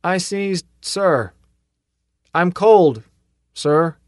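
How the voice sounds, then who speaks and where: A man's voice: high-pitched, expressive, extremely slow, dry recording, studio quality, pitched above the performer's own voice, exaggerated voice. A man reading an audiobook, voicing a cold, timid young male recruit who answers meekly. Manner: meek, answering briefly.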